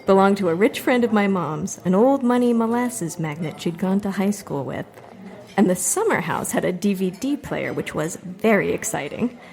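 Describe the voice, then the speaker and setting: A woman's voice: mid-range voice, darkish tone, natural voice. A woman playing a wry open-mic storyteller. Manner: chatty, self-deprecating storytelling. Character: wry young woman doing a stage monologue.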